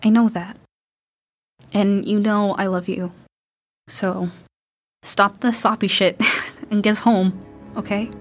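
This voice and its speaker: An actress playing a tough, loving young daughter surviving an apocalypse in an audio drama, speaking frankly and reassuringly. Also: female voice, mid-range voice, fairly even intonation, slow pace, pauses often. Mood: fond, teasing.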